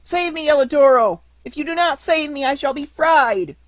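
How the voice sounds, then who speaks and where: A man's voice: extremely high pitch, expressive, normal speed, a bit bright, no echo, voice pushed up. A man voicing an indignant, frightened boy puppet who protests and pleads. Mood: desperate. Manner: feeble, entreating, protesting.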